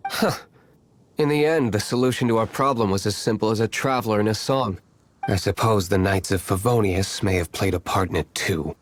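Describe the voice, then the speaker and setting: A man's voice: fairly low pitch, brisk, slight background noise, close to an everyday voice. A man voicing an aloof young nobleman in an anime-style video game, composed, dry and matter-of-fact. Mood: dry, wry.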